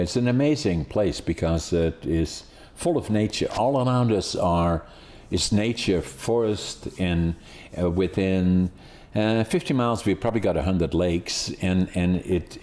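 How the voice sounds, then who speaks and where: Low, mellow older Dutch-accented man. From Netherlands. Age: 80s. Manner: rambling, many restarts and fillers. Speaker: podcast host. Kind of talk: casual interview chat.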